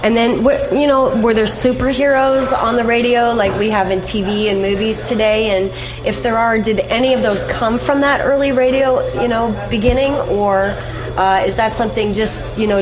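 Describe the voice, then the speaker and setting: Lady radio host doing a casual interview, medium pitch, dull sound, faint music behind. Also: fairly even intonation, normal speed.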